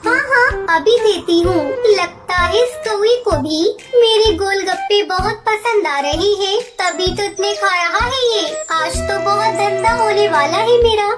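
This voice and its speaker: A small female bird from a kids' cartoon. The voice is extremely high and childlike, polite and pleading with a singsong lilt. Music runs clearly behind it. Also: unhurried. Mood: friendly, chatty. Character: small, chatty, timid female bird street-food seller. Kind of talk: children's cartoon story dialogue.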